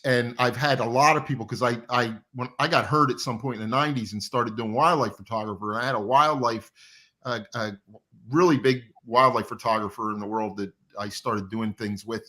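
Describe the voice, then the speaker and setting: A man's voice: mid-range voice, sharp tone. A middle-aged or older man telling rambling anecdotes as a guest in a casual interview about his working life. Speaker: comic book artist and interview guest. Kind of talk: casual reminiscing interview chat.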